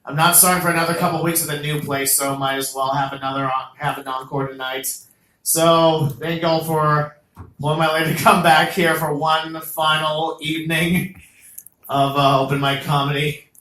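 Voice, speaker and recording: A young man doing a stand-up comedy set as the host of an open mic, animated and jokey, with loud bursts on the punchlines. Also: fairly high pitch, normal speed, roomy sound, poor audio. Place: small comedy venue.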